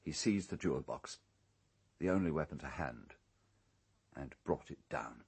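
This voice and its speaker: An actor playing an urbane, calculating English island owner in a radio drama, speaking in a measured, precise, coolly unrepentant way. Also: male voice, extremely low pitch, expressive, creaky, darkish tone, husky, exaggerated voice. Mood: grave recounting.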